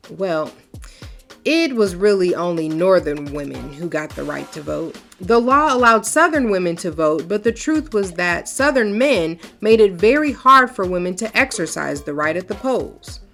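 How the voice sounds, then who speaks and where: A woman reading a picture book aloud for children in an expressive, folksy storyteller's way, then talking to her young viewers. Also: medium pitch.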